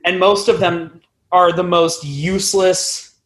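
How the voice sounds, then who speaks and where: A man's voice: sits high, level tone, slow, a bit bright. A man in his twenties chatting casually as a musician being interviewed, rambling and self-deprecating. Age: 20s. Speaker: podcast guest, band musician.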